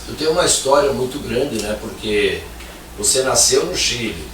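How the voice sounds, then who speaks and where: A man's voice: mid-range voice, animated, moderate pace, fairly bright tone. A man hosting a talk show, chatty and joking, often tagging his phrases with questions. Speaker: radio talk show host. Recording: echoey, distant, faint noise.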